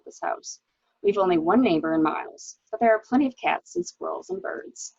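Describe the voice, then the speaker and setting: A woman playing a bitter, expressive cornered wife. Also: mid-range voice, gravelly, slightly rough, no echo, exaggerated voice. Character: resigned, bitter wife caught beside her murdered husband. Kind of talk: dramatic reading of a murder mystery. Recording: dull, lo-fi audio.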